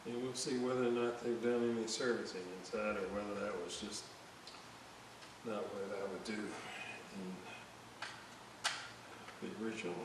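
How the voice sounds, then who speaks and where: A man giving casual hands-on repair commentary, thinking aloud in a hesitant, halting way as he works. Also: lowish voice, flat delivery. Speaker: electronics restorer narrating a repair video. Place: indoor room at a workbench. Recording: very echoey room, distant mic, clear hiss.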